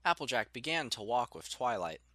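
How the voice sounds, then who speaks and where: Male narrator, medium pitch, lively intonation, crisp bright tone, scripted storytelling, dry sound. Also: fairly quick, dry recording.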